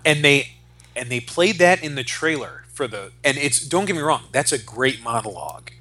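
A man's voice: medium pitch, animated, moderate pace, sharp tone. A man in his forties co-hosting a casual pop culture discussion, speaking emphatically, repeating phrases for stress and swearing freely. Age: 40s. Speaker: podcast co-host.

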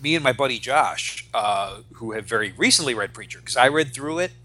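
A man in his forties chatting casually and profanely, retelling stories in an animated way as on a podcast. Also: medium pitch, brisk, rough recording. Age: 40s. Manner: animated retelling with acted-out quotes. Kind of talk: casual, profane podcast chat about comics.

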